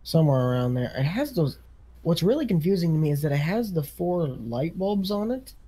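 A man's voice: a little high, sing-song, fairly quick, mellow, husky. Man playing easygoing farm equipment salesman. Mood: casual, businesslike. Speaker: role-play gamer playing dealership salesman. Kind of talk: improvised role-play dialogue.

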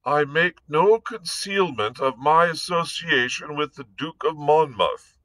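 A wounded nobleman, as a man puts him on speaks in a weak, feeble voice, quieter than the rest and pitched above the reader's own. He stays slow and measured, and the sound is close and dry. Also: no echo, low-quality recording. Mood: weary. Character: wounded, feeble male lord.

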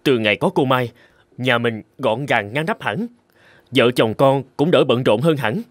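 A man's voice: mid-range voice, measured pace, no echo, lightly acted. A man voicing a supportive, agreeable young husband and father in a cartoon, speaking respectfully. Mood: pleased. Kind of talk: cartoon dubbing.

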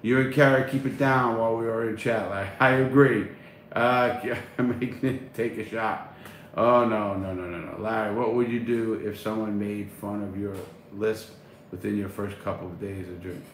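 A man's voice: on the low side, fairly even intonation, normal speed. A middle-aged man hosting a casual livestream, reading chat aloud and answering bluntly, swearing freely, recorded on a phone at arm's length. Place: small room at home, indoors. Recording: echoey room, faint noise.

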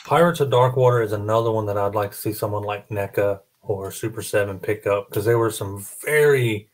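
A man's voice: on the low side, fairly quick. A middle-aged man hosting a casual panel chat, rambling a little as he thinks aloud. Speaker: host of a collector panel. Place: small home room, indoors, video call. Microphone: studio mic on boom arm close up.

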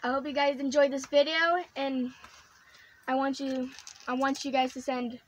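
A woman with a high but flat voice giving a friendly goodbye to viewers. She sits somewhat back from the mic, and there is faint noise behind her. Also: normal speed. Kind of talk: casual video outro.

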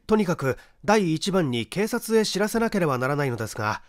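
A man playing a composed amateur investigator in a mystery story, polite, brisk and firm. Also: fairly high pitch, very animated, dry recording, studio quality, voice pushed up. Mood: urgent, firm. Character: composed, authoritative male amateur investigator at murder scene.

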